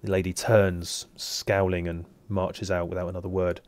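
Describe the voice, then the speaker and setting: A man's voice: low voice, little pitch movement, normal speed, no room sound. A man narrating a tabletop game as game master, casual and hesitant with many fillers.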